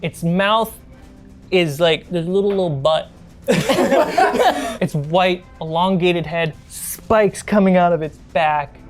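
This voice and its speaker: A woman's voice: lowish voice, lively intonation, slow, pauses often. A woman running a tabletop role-playing game as game master, mixing scene narration with casual, playful table talk. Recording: music behind the voice. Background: music.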